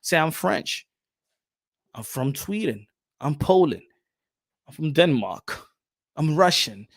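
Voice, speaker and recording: A young adult man hosting a casual boxing livestream, animated and repeating his phrases as he reads out the chat. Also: fairly high pitch, slow pace. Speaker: boxing channel host.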